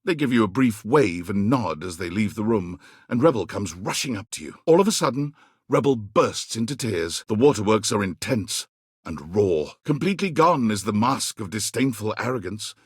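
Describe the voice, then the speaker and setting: A man playing a wry, theatrical video game narrator, husky and measured, with a dryly mocking edge. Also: fairly low pitch, lively intonation, no room sound, clean studio sound. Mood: grave, dramatic.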